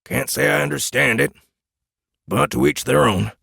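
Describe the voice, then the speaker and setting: A man's voice: mid-range voice, moderate pace, gravelly, pushed and bright, slightly rough, no room sound, voice pushed up, full character voice. A man playing an easygoing, skeptical human man who talks in a casual, dry way. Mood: dry, unconvinced.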